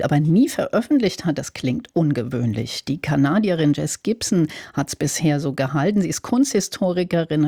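A radio presenter reading a scripted introduction to a feature with smooth, brisk delivery. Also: mid-range voice, mellow, no echo, clean studio sound.

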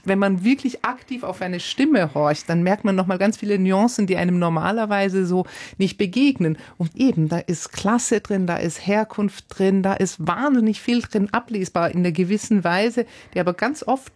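A woman's voice: medium pitch, moderate pace, hardly any pauses, a little mellow, no echo. A woman radio host asking curious, reflective questions in a book discussion.

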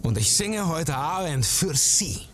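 An actor playing a showy Cuban-German stage entertainer with a Spanish accent, greeting his audience in a drawn-out manner. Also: fairly high pitch, very animated, a bit bright, a little hiss or hum. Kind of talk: stage announcement.

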